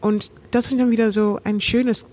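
Dutch-accented actress, rounded melodic German, thoughtful and halting. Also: female voice, fairly high pitch, little pitch movement, darkish tone, no room sound. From Netherlands. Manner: thoughtful, hesitant, melodic phrasing. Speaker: stage actress, guest. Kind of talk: casual podcast chat.

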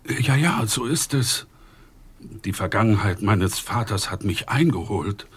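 An actor playing an anxious wealthy man in a radio play who speaks slowly and hesitantly. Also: on the low side, lively intonation, slightly rough, full character voice. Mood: uneasy. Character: rich, anxious man haunted by his father's past.

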